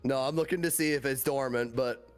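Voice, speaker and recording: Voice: mid-range voice, a little fast, soft background music. A player thinking aloud uncertainly and swearing casually during a word game. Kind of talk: casual gaming chat.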